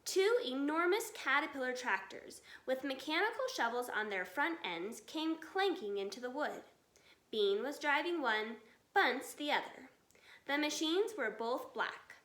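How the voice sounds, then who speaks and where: Lady reading a kids book out loud, slow n expressive, bright voice, echoey room. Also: sits high. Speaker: storybook reader. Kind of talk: expressive children's read-aloud.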